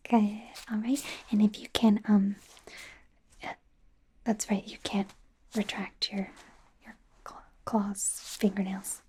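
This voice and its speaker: A woman's voice: mid-range voice, level tone, slow, long pauses. A woman playing a nervous, friendly female werewolf doctor in a whispered ASMR roleplay, hesitant, stammering and apologetic. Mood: gentle, apologetic. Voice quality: soft, breathy whisper.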